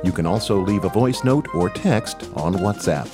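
A man's voice: on the low side, very animated, moderate pace. A man reading an upbeat promo inviting listeners to get in touch. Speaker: show announcer. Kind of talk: promo read over music. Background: music bed.

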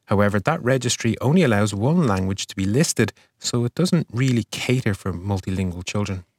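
A man hosting a news podcast, reading a scripted review of the year in a measured way. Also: fairly low pitch, lively intonation, a little mellow, no room sound, studio quality.

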